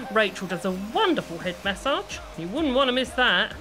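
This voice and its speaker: A woman's voice: a little high, very animated, moderate pace, music in the background, rough recording. An actress playing a kindly, chatty British hairdresser in an audio play, motherly and full of endearments. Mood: bright, chatty. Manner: motherly, calls people "love".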